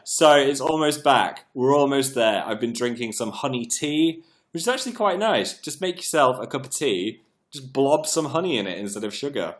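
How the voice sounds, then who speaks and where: A British man hosting a casual livestream, chatting in a rambling, self-mocking way with a slightly croaky, froggy voice as it recovers from being lost. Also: mid-range voice. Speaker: live stream host.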